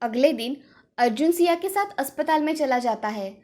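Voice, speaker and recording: A woman's voice: high voice, lively intonation, moderate pace, a little mellow. A woman storyteller reading scripted narration for a moral tale.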